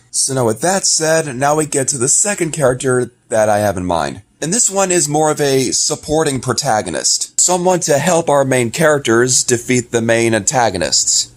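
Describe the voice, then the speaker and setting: A man with an average-pitched voice addresses viewers in a casual, explanatory way. He sounds like a hobbyist creator laying out an announcement. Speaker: amateur video creator and voice actor. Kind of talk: casual scripted-feeling vlog announcement.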